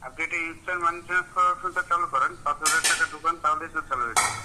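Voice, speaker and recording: A man's voice: on the high side, fairly even intonation, racing, sharp tone. A man making an unscripted phone call as a caller posing as bank staff, giving instructions in an insistent, officious manner.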